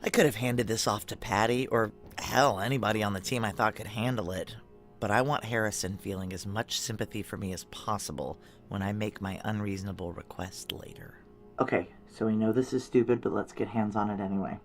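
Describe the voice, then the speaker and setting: A woman's voice: extremely low pitch, brisk, faint music behind. A woman acting a tough, guarded female security chief in a noir audio drama, terse, wry and confiding. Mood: focused, businesslike. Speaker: lead character and narrator.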